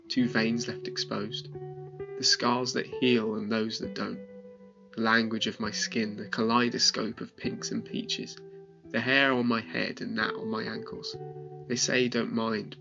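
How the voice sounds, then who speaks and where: Gravelly man reciting poetry over guitar. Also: mid-range voice, level tone, lo-fi sound. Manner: measured, reflective recital. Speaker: poetry reader. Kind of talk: spoken word poetry reading. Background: soft guitar music.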